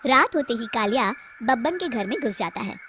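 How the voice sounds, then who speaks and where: A woman narrating a cartoon story in a plain, steady manner. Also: a little high, fairly quick, noisy background.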